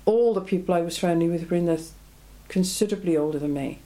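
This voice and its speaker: Woman, 70s, Belgian-born, low mellow voice, reflective oral history interviewee. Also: fast talker. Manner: reflective, plain-spoken, frequent ums.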